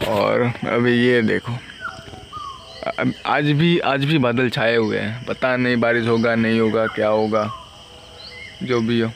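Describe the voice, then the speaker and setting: A man's voice: medium pitch, steady tone, takes it slowly, creaky, long pauses. A young man chats casually and ramblingly in a selfie vlog, recorded outdoors on a phone. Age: young adult. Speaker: vlogger. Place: outdoors in a rural village. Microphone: phone at arm's length. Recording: noisy outdoor phone recording, insects, vehicles.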